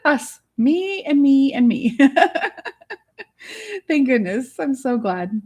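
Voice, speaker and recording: Hoarse raspy woman hosting a live stream, kinda high voice, giggly and chatty. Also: very animated, very slow, mellow. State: hoarse, worn voice. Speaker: livestream host. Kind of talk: casual live chat. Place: home studio. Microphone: studio microphone.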